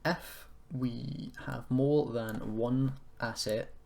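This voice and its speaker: A man giving an informal software tutorial, thinking aloud in a hesitant, self-deprecating way as he goes. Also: mid-range voice, fairly even intonation, drawn-out words, gravelly. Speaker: tutorial presenter. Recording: noisy room with keyboard clicking.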